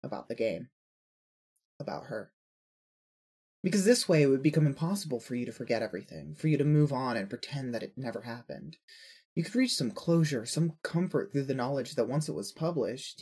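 A man's voice: medium pitch, fairly quick, a little mellow, breathy edge. A man narrating a scripted audio story, reading prose addressed in the second person.

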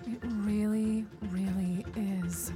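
An actress playing a smitten, airy office worker in a comic audio drama. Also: female voice, medium pitch, fairly even intonation, slow, music in the background, natural voice. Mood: dreamy. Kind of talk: audio drama comedy acting.

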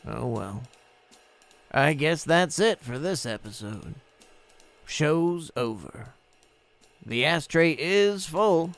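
A woman hosting a comedy podcast, with a sardonic manner. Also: extremely low pitch. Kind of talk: comedy podcast sketch and sign-off.

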